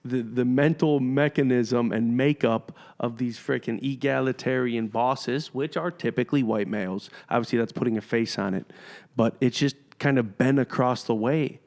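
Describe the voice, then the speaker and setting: A man's voice: medium pitch, fairly even intonation, normal speed, gravelly, a little mellow. A man hosting a podcast, blunt and fired-up, swearing casually as he talks. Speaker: podcast host.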